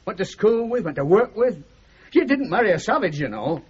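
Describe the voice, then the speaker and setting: Middle aged northern english husband, blunt but sentimental, very up and down voice, dull 70s radio drama sound. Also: male voice, sits high, very animated, normal speed, breathy edge, exaggerated voice. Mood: indignant. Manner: blunt, emphatic, plain-spoken. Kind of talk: radio comedy drama acting. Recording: muffled 1970s radio drama recording, noisy.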